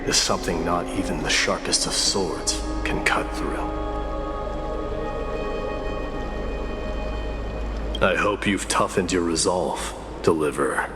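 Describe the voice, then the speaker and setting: An actor playing a proud, stern, dignified warrior in a video game, speaking formally and solemnly. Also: male voice, deep voice, natural voice. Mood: solemn resolve. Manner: formal, solemn, measured speech. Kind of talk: dramatic video game voice acting. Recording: echoey hall reverb under loud music.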